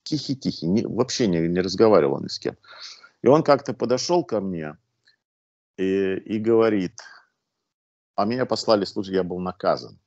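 A man's voice: low voice, expressive, moderate pace, long pauses, a little mellow, dry recording. A man in his sixties, a guest on a video call, telling anecdotes in a chatty way with restarts, through a wired earphone mic at home. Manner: chatty anecdotal storyteller, restarts and filler sounds. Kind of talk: casual storytelling over a video call. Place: living room at home, indoors. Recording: dull, dry lo-fi video-call audio.

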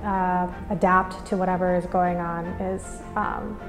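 A young American woman speaks as a student in an interview testimonial. Her pitch stays fairly even. The room rings with reverb, and music plays lightly underneath. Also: a little slow. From Minnesota, United States. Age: young adult. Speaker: business school student giving a testimonial. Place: indoors against a plain wall and banner. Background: background music.

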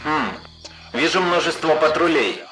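A man's voice: a little high, lively intonation, unhurried, low-quality recording. A man playing a bossy, easily exasperated military commander briefing his soldier in a parody cartoon dub, urgent in tone. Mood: instructing. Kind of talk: dubbed parody cartoon acting. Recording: music behind.

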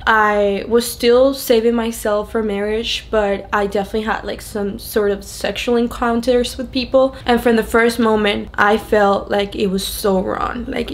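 A young woman from Venezuela, a native Spanish speaker with a Venezuelan accent, giving a candid, conversational personal testimony to camera. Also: medium pitch. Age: young adult. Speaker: video creator sharing a testimony.

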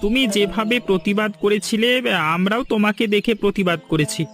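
A man's voice: high-pitched, fairly quick, fairly bright tone, faint music behind. A man playing a defiant villager who stands up for others in a cartoon folk tale, speaking assertively. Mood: confident.

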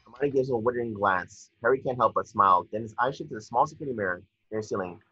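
Husky guy reading out script action lines, bright forceful voice, dull call audio. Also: mid-range voice, very fast. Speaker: narrator. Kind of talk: scripted narration of screenplay stage directions. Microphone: video call.

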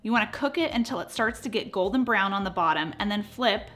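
A woman's voice: medium pitch, lively intonation, brisk, a bit bright. A woman in her thirties hosting a cooking show, narrating recipe steps in an upbeat voice with playful asides, recorded in a home kitchen. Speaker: cooking channel host.

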